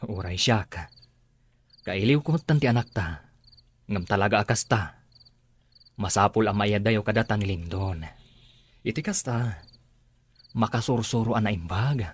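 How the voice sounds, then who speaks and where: A husky, soft-spoken older father. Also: on the low side, lively intonation, measured pace, long pauses. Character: older father worried about his child. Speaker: voice actor. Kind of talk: Ilocano radio drama acting.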